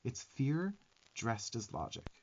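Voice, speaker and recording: A sarcastic little demon twink, played by a man, bosses the listener around with affection in an ASMR roleplay. His mid-pitched young voice swings up and down with sassy emphasis and blunt swearing. The sound is a little dull. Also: big pitch swings, slow pace, a little mellow, slightly rough, heavily acted. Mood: firm, tender. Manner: sassy, emphatic, profane tough-love delivery.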